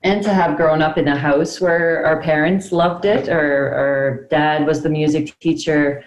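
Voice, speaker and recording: Low-voiced woman explaining on a call. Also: fairly even intonation, unhurried, roomy sound, slight background noise, low-quality recording. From Prince Edward Island, Canada. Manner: chatty, explanatory, laughs often. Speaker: band member, interviewee. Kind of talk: casual interview over video call.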